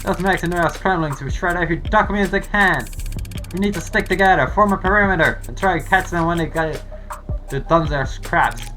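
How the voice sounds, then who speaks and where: An actor in a video game playing an agitated, shouting conspiracy-minded protester, loud and insistent. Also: mid-range voice, fairly quick, natural voice. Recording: game audio with loud music underneath. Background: game music.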